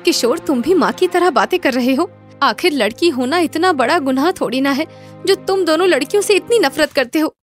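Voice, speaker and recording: A woman voicing a gentle young daughter-in-law with an earnest, pleading manner, in a moral story. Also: sits high, lively intonation, brisk, faint music behind, pitched above the performer's own voice.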